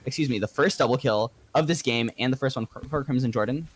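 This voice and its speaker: A man doing play-by-play commentary on a live video game match, with a delivery that gets excitable when calling action. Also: medium pitch, fast talker, a little hiss or hum.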